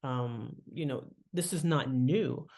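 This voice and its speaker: A woman's voice: very deep voice, slow pace, a little mellow. A woman speaking as an expert guest in an interview, giving conversational advice and explaining with emphasis on key words. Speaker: guest author and writing expert.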